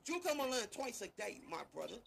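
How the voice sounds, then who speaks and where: A man's voice: very high voice, sing-song, fast, creaky, a bit bright, slight background noise. A man arguing heatedly and confrontationally in a casual online live-stream chat, repeating himself for emphasis.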